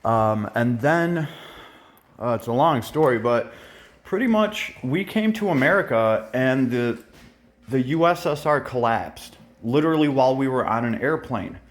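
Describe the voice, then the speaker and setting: A man in his thirties, born in Russia and raised elsewhere since childhood, talks straight to his audience in a casual vlog. He is blunt and heated, swears freely and fills his pauses with ums and uhs. His voice sits at an ordinary middle pitch. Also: animated. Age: 30s. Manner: blunt, heated and profane, with many fillers. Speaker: YouTuber.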